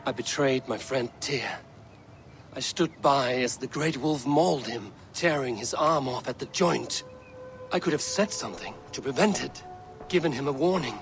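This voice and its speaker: An actor playing a grave, resolute Viking warrior in a video game, speaking in a solemn, measured, formal way. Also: male voice, mid-range voice, natural voice. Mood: remorseless, grave. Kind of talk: dramatic video game cutscene acting. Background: music.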